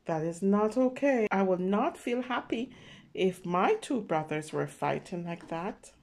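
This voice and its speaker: Slow-talking woman reading to young children. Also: medium pitch, mellow. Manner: simple, child-directed explaining with check-in questions. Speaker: children's read-aloud storyteller. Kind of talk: picture book read-aloud with commentary for young children.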